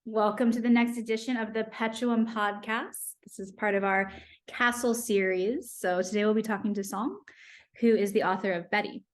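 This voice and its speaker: A woman's voice: mid-range voice, steady tone. Upbeat young woman hosting a podcast. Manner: upbeat, quick questions. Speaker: podcast host. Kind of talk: friendly interview hosting. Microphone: video call.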